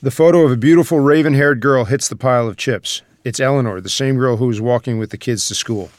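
A man reads screenplay narration as a dramatic storyteller. His pitch is medium, and the recording is very dry, with little sense of a room. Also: quick pace, dry recording. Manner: dramatic present-tense storytelling. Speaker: narrator reading stage directions.

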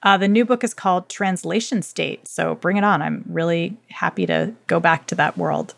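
A woman's voice: on the low side, very animated, moderate pace, vocal fry, dry recording. A woman co-hosting a podcast, chatting casually and effusively in colloquial, slangy language as she recommends books. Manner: enthusiastic, effusive. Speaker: podcast co-host. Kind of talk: casual book recommendation chat.

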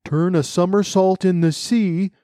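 A man voicing a sorrowful, sighing sea turtle creature in a children's storybook, with a slow, dramatic manner. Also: a little high, warm tone, no room sound, clean studio sound. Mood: excited. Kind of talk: children's storybook character reading.

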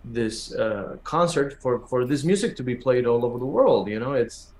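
Argentine-accented male musician, native Spanish speaker, halting conversational interview guest, mid-range voice, roomy echo. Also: medium pitch, animated, a loud crowd. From Argentina, raised in Buenos Aires. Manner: halting, repeats words as they search for phrasing. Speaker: musician guest, pianist and teacher. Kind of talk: conversational interview answers, telling stories. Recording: echoey room sound.

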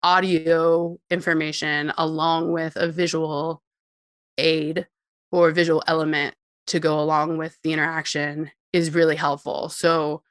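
A woman's voice: deep voice, little pitch movement, a little slow, clear, resonant tone, dry recording, studio quality. A woman guest giving long explanatory interview answers about technology, often with a light laugh.

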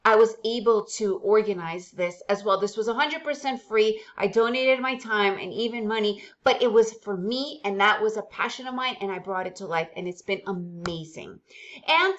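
A woman's voice: medium pitch, moderate pace, a bit bright. A middle-aged woman vlogger, born in the Soviet Union with Russian as her first language, talking to viewers in an upbeat, chatty way. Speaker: vlogger and day trader. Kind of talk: casual to-camera vlog. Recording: dull lo-fi sound.

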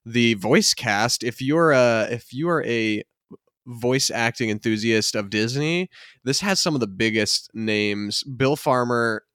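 A man in his twenties co-hosting a podcast, talking quickly and casually with lots of fillers. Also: mid-range voice, fairly bright tone, smooth, no room sound, studio quality. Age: 20s. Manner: quick, chatty, frequent fillers. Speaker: podcast co-host. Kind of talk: casual chat.

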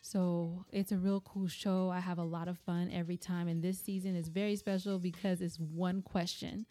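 A woman co-hosting a podcast in casual banter, playful, teasing and excitable. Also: fairly low pitch, normal speed. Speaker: podcast co-host. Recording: music under the voice.

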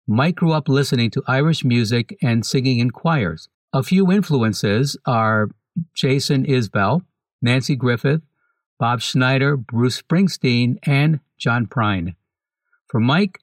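A man hosting a podcast, reading a scripted introduction to a segment in a measured way. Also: mid-range voice, lively intonation, no echo. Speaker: podcast host.

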